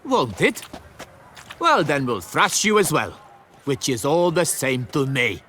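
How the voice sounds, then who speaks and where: An actor playing a coarse, menacing street thug in a video game. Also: male voice, fairly high pitch, very animated, takes it slowly, background noise. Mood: threatening.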